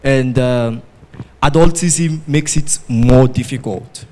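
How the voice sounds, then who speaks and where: A man's voice: mid-range voice, slow pace, a little mellow. A man from Sierra Leone with a Sierra Leonean accent, sharing his experience at a conference. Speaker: audience participant, former consultant. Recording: echoey hall, faint noise.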